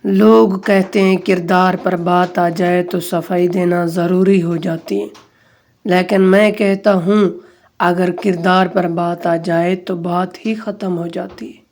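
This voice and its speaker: Slow-paced woman reciting quotations. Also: fairly low pitch, steady tone, a little mellow. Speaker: narrator of quotations. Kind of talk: scripted recitation of Urdu quotes.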